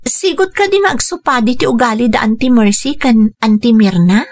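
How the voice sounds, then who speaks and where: A woman's voice: on the high side, expressive, moderate pace, a little mellow. A woman playing an earnest young student daughter, hurt on her father's behalf, in an Ilocano-accented Filipino radio drama style. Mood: troubled, hesitant. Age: young adult.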